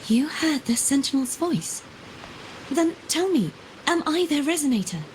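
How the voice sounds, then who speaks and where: An actress playing a mysterious, elegant woman in a video game, composed and softly probing in a measured way. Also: high voice, big pitch swings, a little hiss or hum, low-quality recording. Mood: curious, intent. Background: game music.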